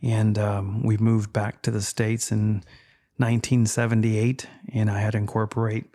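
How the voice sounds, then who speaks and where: A man in his fifties from Texas, Spanish his first language, talking in a measured, reflective way as a podcast guest. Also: fairly low pitch, level tone, dark tone. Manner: measured, reflective, with frequent fillers. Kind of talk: casual interview chat.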